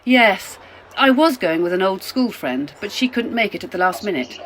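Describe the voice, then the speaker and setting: A woman's voice: animated, moderate pace, fairly bright tone. A woman playing a polite, talkative, slightly apologetic British middle-class traveller in a radio play. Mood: chatty. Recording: faint noise, train rumble.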